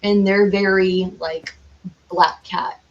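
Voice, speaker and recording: Chatty exuberant woman on a podcast, normal pitch, kinda dull lo-fi audio. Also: steady tone, slow. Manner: chatty, self-described loud and exuberant. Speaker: podcast guest, cosplayer. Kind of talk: casual chat.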